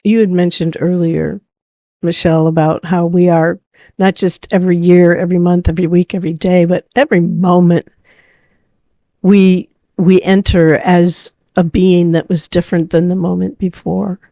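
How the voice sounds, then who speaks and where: A woman co-hosting a podcast in a reflective, conversational style, building her points deliberately in repeated phrases. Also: fairly low pitch, flat delivery, mellow, dry recording, clean studio sound. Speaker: podcast co-host.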